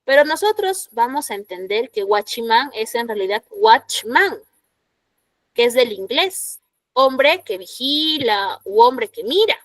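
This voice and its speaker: Peruvian woman teacher, higher voice. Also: big pitch swings, a little slow, a bit bright, no room sound. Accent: Peruvian Spanish. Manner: explanatory, frequent "¿no?" checks, rhetorical questions to students. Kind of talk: classroom lecture.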